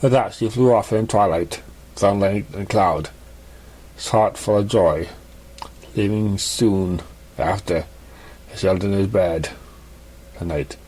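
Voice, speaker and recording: A man reading a fan fiction story aloud in a halting, stumbling way. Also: lowish voice, dark tone. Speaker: story reader.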